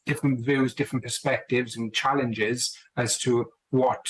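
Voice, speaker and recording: A man's voice: mid-range voice, steady tone, normal speed, slightly rough, rough recording. A man co-authoring a book, chatting casually in an interview and often restarting his sentences. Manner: rambling, restarts sentences, friendly. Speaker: co-author guest.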